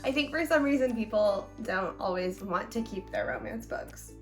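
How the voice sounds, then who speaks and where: A woman's voice: medium pitch, expressive, normal speed, faint music behind. A young American woman co-hosting a book show in casual, enthusiastic chat, with a playful, giddy and slangy manner.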